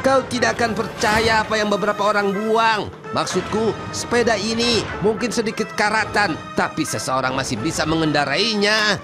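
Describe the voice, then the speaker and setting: A man's voice: sits high, moderate pace, over music, natural voice. A man voicing a cheerful, laid-back and playful scrapyard character in a children's cartoon. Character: cheerful, easygoing scrapyard character fond of old junk.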